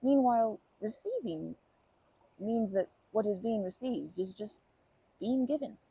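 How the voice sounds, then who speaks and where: Kindly old grandma, played by a woman, for ASMR comfort roleplay: creaky, mellow, gently rambling and nurturing. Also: fairly high pitch, animated, no room sound, exaggerated voice, low-quality recording. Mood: gentle, reflective. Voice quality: husky, creaky voice. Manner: gentle, rambling, halting, doting endearments.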